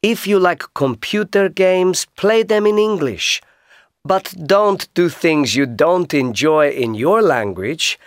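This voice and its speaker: A man giving a scripted tip in a slow, deliberate way. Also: a little high, animated, dry recording, studio quality. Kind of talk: scripted textbook audio.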